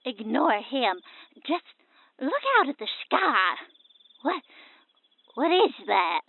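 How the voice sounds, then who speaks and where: An excitable wife hunting UFOs, bossy and insistent. Her high voice swings widely as she hushes and presents to camera. It all comes through thin, phone-like camcorder audio, as comedy radio drama. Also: takes it slowly, husky, dry recording, heavily acted. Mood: exasperated, hushing. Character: bossy, excitable amateur UFO hunter wife filming a video.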